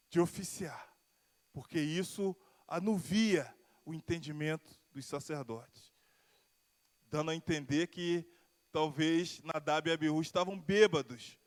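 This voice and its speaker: High-voiced Carioca pastor preaching in a reverberant hall. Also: male voice. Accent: Carioca Brazilian Portuguese. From Rio de Janeiro, Brazil. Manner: swells and drops in pitch and volume, drawing out key words. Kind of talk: sermon with personal testimony. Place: church sanctuary.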